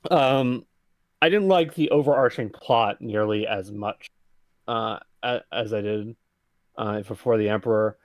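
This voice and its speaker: A man's voice: lowish voice, measured pace, no room sound. Easygoing male co-host, dry close mic. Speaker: podcast co-host. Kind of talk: casual podcast chat.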